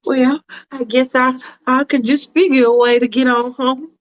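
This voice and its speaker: A woman's voice: fairly even intonation, normal speed, highs cut off, close to an everyday voice. A woman voicing a fussy, prim woman who whines and talks in a babyish sing-song. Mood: flustered. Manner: prissy, whiny, talks in a high sing-song.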